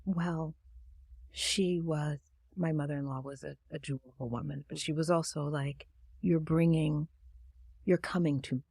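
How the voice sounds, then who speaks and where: A woman guest in a conversational interview, talking about her book in a reflective, soft-spoken storytelling manner. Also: low-pitched, steady tone, moderate pace, gravelly, slightly rough, no room sound, clean studio sound. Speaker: author and guest. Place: studio.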